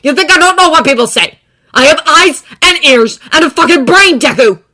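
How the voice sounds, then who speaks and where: A woman voicing a furious teenage boy with an explosive temper, shouting and swearing in a fan fiction reading. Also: mid-range voice, animated, a little fast, voice pushed up.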